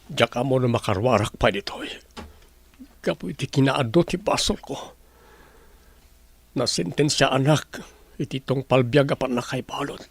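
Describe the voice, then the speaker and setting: Gravelly, remorseful prisoner played by a man. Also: mid-range voice, normal speed, pauses often. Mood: remorseful, pleading. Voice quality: gravelly, husky. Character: remorseful prisoner begging forgiveness. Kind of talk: radio drama acting.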